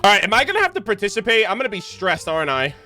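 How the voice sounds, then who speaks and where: Casting: male game streamer, high animated voice, slangy joking commentary, faint music behind. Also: rapid-fire. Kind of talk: casual live game commentary.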